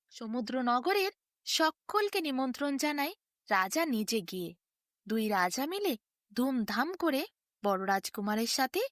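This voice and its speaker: A woman with a high voice tells a bedtime fairy tale, her pitch rising and falling in a lively sing-song. The sound is dry and close, with no room around it. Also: dry recording. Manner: sing-song storytelling, quoting figures in her own voice. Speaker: storyteller.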